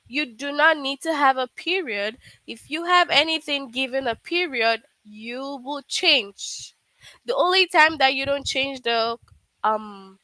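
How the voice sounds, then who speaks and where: A woman's voice: high voice, sharp tone, dry recording, rough recording. A woman teaching a live online grammar lesson, patiently checking understanding with frequent "okay?".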